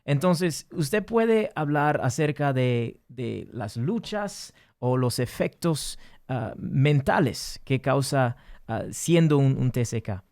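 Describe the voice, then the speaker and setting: Male co-host, talks real slow, halting, dry close sound. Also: medium pitch, lively intonation, a little mellow, no echo. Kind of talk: casual interview.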